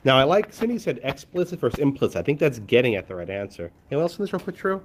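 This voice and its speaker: A man's voice: medium pitch, sing-song, racing, slight background noise. Congested male law professor quizzing a class. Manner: questioning, Socratic, calls on students by name. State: slightly congested, lower voice than usual. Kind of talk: classroom lecture with questions. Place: law school lecture room.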